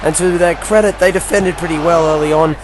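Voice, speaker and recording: A man with a croaky, worn voice gives a casual play-by-play recap of a video game football match. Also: a little high, steady tone, fairly quick, a crowd in the background. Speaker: gaming commentator.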